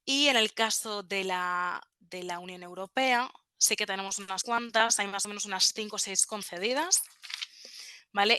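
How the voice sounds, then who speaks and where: Bright, crisp woman explaining slides. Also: medium pitch. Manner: explanatory, with frequent fillers. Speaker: trademark office expert. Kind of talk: webinar presentation.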